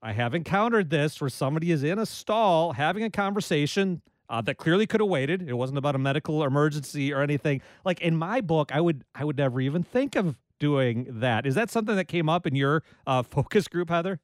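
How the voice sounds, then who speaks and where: A man's voice: fairly high pitch, expressive, a little fast, few pauses, no room sound. A man hosting a public radio call-in show, chatting in a jokey, easygoing way from a studio. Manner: jokey, conversational, self-deprecating. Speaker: radio talk show host. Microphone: studio microphone.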